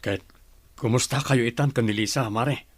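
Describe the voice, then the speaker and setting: A man's voice: mid-range voice, normal speed, no echo, clean studio sound, close to an everyday voice. A man playing a casual, neighborly family friend in an audio drama. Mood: friendly. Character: family friend, a man gently passing on news. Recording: loud music under the voice.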